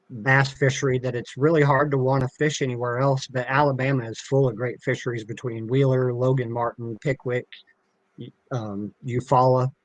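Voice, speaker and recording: A man with an even, fairly flat delivery talks as a podcast guest. He is plain-spoken and earnest, explaining his background in a casual way. The recording is dry, with hardly any room sound. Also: medium pitch, no echo, low-quality recording. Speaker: podcast guest, tournament director. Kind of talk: casual interview talk about himself.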